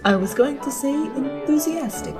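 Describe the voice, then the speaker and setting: A woman's voice: sits high, animated, normal speed, over music. A woman playing a bubbly, nagging wife. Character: chatty, fussy wife on an ocean liner. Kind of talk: amateur radio play acting.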